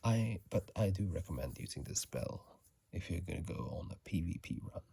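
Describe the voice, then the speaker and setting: A man whispering casual ASMR game commentary in a hushed, breathy voice, close to a phone microphone. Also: sits low, expressive, fairly quick. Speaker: ASMR video host.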